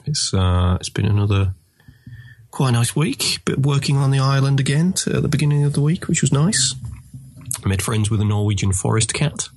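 A man with a low, gravelly and husky voice, croaky from a cold. He chats casually on a podcast and slips in dry, teasing jokes. The recording is dry and close. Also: darkish tone, no room sound. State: croaky voice with a sniffle. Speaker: podcast co-host. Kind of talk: casual chat.

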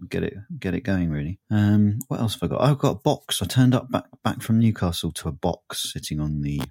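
A man's voice: sits low, animated, brisk, creaky, darkish tone, no room sound, studio quality. A man with a sore, throaty voice, chatting casually as a podcast co-host, rambling and often laughing. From southern England. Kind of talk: casual chat.

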